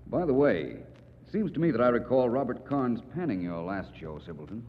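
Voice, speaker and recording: An American actor playing a suave, thoughtful gentleman detective, polished and probing, in a 1940s radio drama. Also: mid-range voice, sing-song, moderate pace. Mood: urbane, probing. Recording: muffled, noisy 1940s radio recording.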